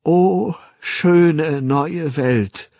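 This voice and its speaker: A man's voice: mid-range voice, very animated, slow, husky, no room sound, pitched above the performer's own voice, exaggerated voice. A man voicing a naive, dreamy young outsider in an audiobook, declaiming rapturously in old-fashioned words. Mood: fervent joy.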